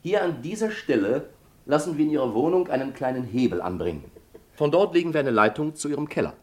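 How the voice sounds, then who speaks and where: An actor playing a practical police detective's assistant in a 1950s German radio crime play, polite and businesslike. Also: male voice, fairly high pitch, very animated, fast, a little mellow. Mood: brisk, instructive.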